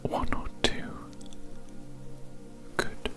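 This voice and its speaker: A performer voicing a condescending alien doctor in a breathy ASMR whisper, soothing, patronizing and repetitive during a medical roleplay. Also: drawn-out words, loud music behind the voice. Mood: calm, clinical. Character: condescending, politely amused alien doctor giving a hearing exam.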